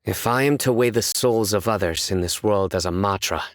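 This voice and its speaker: An actor playing a stern, composed young male judge in a video game, speaking in a measured, serious, deadpan way. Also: fairly low pitch, no room sound, clean studio sound, lightly acted. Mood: calm, stern. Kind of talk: scripted game character voice lines.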